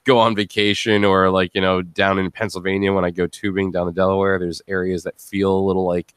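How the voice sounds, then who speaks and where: A man's voice: low-pitched, level tone, a little fast, smooth, no echo, studio quality. A man hosting a casual panel chat, telling rambling, jokey stories. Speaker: podcast host.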